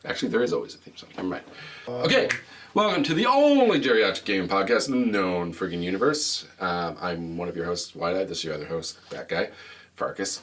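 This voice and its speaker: A man with a deep voice co-hosting a casual gaming podcast, jokey and rambling, laughing often. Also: expressive, a little fast, a bit bright. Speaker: podcast co-host.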